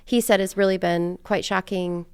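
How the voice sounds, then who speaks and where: Chatty American woman podcaster, creaky but clear. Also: mid-range voice, little pitch movement, moderate pace, no echo, clean studio sound. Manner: chatty, informal, peppered with "like". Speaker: podcast host. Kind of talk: casual conversational interview.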